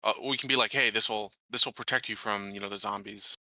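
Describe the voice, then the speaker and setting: A man talking casually as a player in a tabletop roleplaying game, with a tentative, halting delivery. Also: on the low side, steady tone, sharp tone, no room sound.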